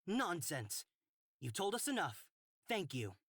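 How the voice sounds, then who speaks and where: A woman voicing a bold, curious and flirty traveller in a fantasy visual novel, expressive and teasing. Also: fairly low pitch, measured pace, no echo, clean studio sound, exaggerated voice. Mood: brisk, insistent.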